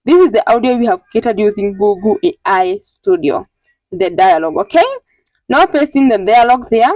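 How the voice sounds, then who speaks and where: A woman narrating an informal step-by-step tutorial in a halting, repetitive, instructional way. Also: medium pitch, lively intonation, a little mellow, no echo. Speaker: tutorial host.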